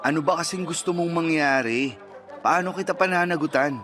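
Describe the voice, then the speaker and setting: A man's voice: fairly high pitch, a little fast, fairly bright tone, soft background music, lightly acted. An actor playing an uneasy, exasperated man of about thirty in a Filipino radio drama, hesitant and protesting. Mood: uneasy, defensive.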